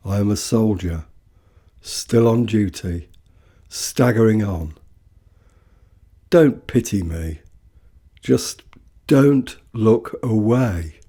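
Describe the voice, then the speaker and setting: A man recites war poetry in a soft, dark tone. His delivery is very slow and solemn, with measured, deliberate phrasing. The voice sits fairly low. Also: husky.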